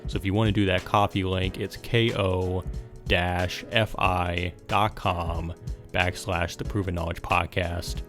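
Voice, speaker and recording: A man with a low voice hosts a podcast in a casual, conversational style. Faint music plays behind him as he talks. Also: level tone, measured pace, fairly bright tone. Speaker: podcast host. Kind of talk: casual podcast interview and listener appeal.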